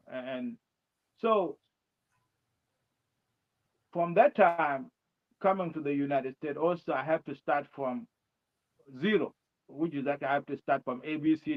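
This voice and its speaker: A man with a South Sudanese accent, speaking English learned later in life, answering interview questions in a halting way with many restarts. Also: fairly high pitch. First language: his mother tongue and Arabic. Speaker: guest, presidential candidate. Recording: dull lo-fi sound.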